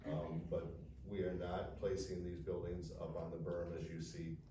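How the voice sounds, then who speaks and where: Deep-voiced man in an echoey meeting room. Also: flat delivery, normal speed. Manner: rambling, many fillers and restarts. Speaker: developer's representative. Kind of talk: presenting plans at a public meeting. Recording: echoey room, distant mic, faint hiss.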